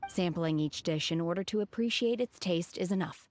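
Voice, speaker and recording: An actress playing an aloof, blunt young woman from the mountains in a video game, speaking calmly and formally. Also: fairly low pitch, moderate pace, slight background noise, natural voice. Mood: reflective. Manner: calm, formal, blunt, sighing. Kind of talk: anime-style video game voice acting.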